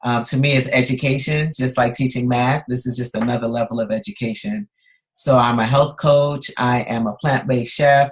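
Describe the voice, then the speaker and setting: A woman in her forties from Guyana, raised in Brooklyn, chatting as a podcast guest in a lively, upbeat way. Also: a bass voice. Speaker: guest chef and health coach. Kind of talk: casual podcast chat.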